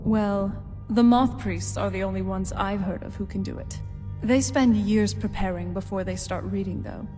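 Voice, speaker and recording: A woman's voice: medium pitch, normal speed, hardly any pauses, lightly acted. An actress playing a guarded, self-assured female vampire in a video game, talking conversationally with dry sarcasm. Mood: dry, sardonic. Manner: conversational, dryly sardonic. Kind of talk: video game dialogue acting. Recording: reverberant hall, faint music behind.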